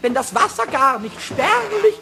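A man voicing a small mischievous kobold in a German children's radio play, squeaky, cackling, sing-song and boastful. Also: very animated, unhurried, gravelly, sharp tone, exaggerated voice. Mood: triumphant, boastful.

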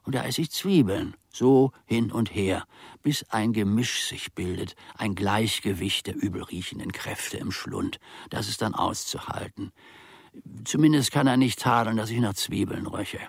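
An actor playing a resentful old manservant in a radio play, muttering to himself in a gravelly, husky voice with pedantic, mock-learned phrasing. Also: male voice, on the low side, unhurried, dry recording, studio quality, exaggerated voice. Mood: grumbling, sardonic. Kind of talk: theatrical radio play monologue.

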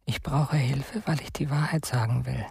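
A very breathy man as a scared young fugitive confessing in a radio play. The voice is mid-pitched and half-whispered, pleading, in a close dry recording. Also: moderate pace, a little mellow, no room sound. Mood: afraid, confessional. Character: frightened, confessional young male fugitive nephew pleading for help. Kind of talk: radio drama letter monologue.

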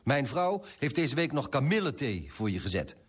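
An actor playing a pompous, self-righteous middle-aged merchant in a radio play, husky and indignant. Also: male voice, medium pitch, big pitch swings, moderate pace.

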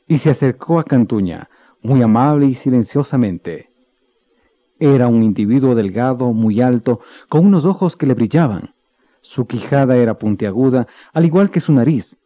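A man narrating a legend in a radio drama with measured storytelling. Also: mid-range voice, dark tone, dry recording. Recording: dull lo-fi recording with faint music.

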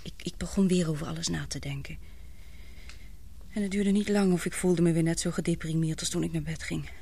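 An actress in a radio play playing a frightened young woman confiding in her lover, halting and breathless in an earnest way. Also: on the low side, steady tone, creaky, mellow, slightly rough. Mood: subdued, weary.

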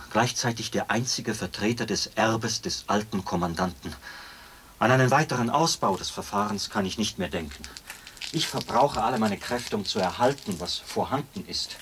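A man's voice: sits low, fairly bright tone. An actor playing a zealous, aggrieved execution officer in a German radio drama, fussy and intense in his long-winded complaints. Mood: confiding, earnest. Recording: noisy 1980s radio drama recording.

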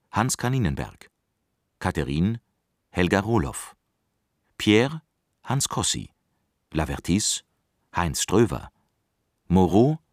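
A man's voice: deep voice, no room sound, clean studio sound. A man formally reading the cast and credits of a radio play as its announcer.

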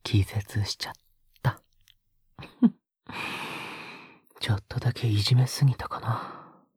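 A man acts out a soft-spoken, possessive older brother for an audio drama. The voice is extremely breathy and husky, often dropping to a whisper. He coaxes and teases slowly, with quiet control. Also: medium pitch, no room sound, studio quality. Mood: soft, fond. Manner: coaxing, slow, softly teasing.

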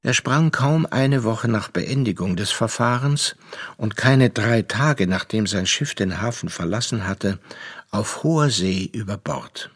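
An actor in a German radio drama playing a worldly, sardonic narrator, telling his story in a measured, reflective way. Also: fairly low pitch, a bit bright, slightly rough, no room sound, clean studio sound. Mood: wry, reflective. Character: sardonic, worldly gentleman narrator recounting a sea inquiry.

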